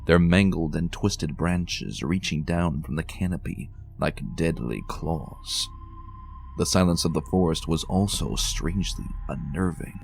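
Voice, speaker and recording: A man narrating a dark adventure story in an expressive, suspenseful dramatic reading. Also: sits low.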